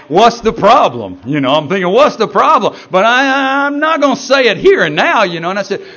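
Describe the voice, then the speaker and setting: A man with a Southern US accent, a pastor telling a story in a sermon with animated, stammering asides. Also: high voice, moderate pace. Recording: dull lo-fi sound.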